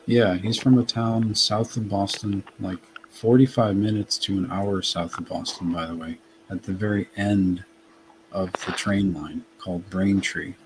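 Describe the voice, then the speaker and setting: A man's voice: low-pitched, fairly even intonation, unhurried, a little hiss or hum, poor audio. Matter-of-fact man, light Massachusetts accent. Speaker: guest. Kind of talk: casual livestream chat.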